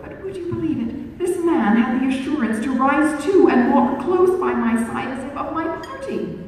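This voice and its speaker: Woman reader at a book launch, expressive and measured, lively pitch, echoey hall, distant mic. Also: a little hiss or hum. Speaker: author reading her book. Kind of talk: reading a period novel aloud at a book launch.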